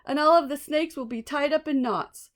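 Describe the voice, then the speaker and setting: A woman's voice: high-pitched, moderate pace, close to an everyday voice. A woman voicing an alarmed zebra in a children's storybook, yelping and exclaiming. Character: alarmed zebra fearing for its stripes. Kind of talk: children's storybook read-aloud.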